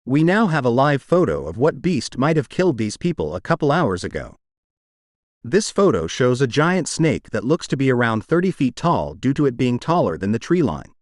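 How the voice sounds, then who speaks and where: Male announcer for emergency alert narration, mid-pitched, very dry close sound. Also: sing-song, normal speed, dry recording.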